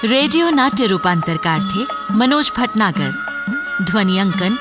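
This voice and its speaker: Mid-pitched female radio announcer over music. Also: expressive, a little slow. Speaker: narrator and announcer. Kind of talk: scripted radio narration and credits.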